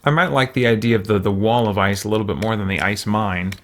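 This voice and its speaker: A man's voice: lowish voice, fast talker, a bit bright. A man giving casual game commentary, thinking aloud to himself in a musing, tentative way. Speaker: gamer hosting a let's play.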